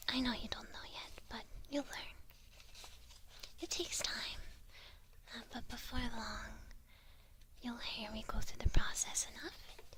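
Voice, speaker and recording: A woman playing a sly witch who tutors an apprentice in a whispered ASMR roleplay, speaking slowly with soft, deliberate teasing. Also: on the high side, lightly acted. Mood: gentle, instructive. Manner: slow, softly teasing, deliberate.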